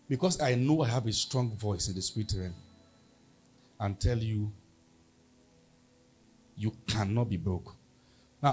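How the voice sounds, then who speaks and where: Husky Nigerian pastor preaching. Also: male voice, mid-range voice. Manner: emphatic preaching, call-and-response with congregation. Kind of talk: sermon.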